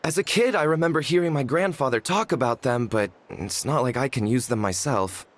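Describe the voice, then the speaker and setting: A man's voice: medium pitch, a little fast, close to an everyday voice. Man voicing a humble, earnest tribesman. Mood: earnest, explanatory. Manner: polite, self-deprecating. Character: humble, earnest tribesman from a lapsed shaman family. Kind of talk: anime game voice acting.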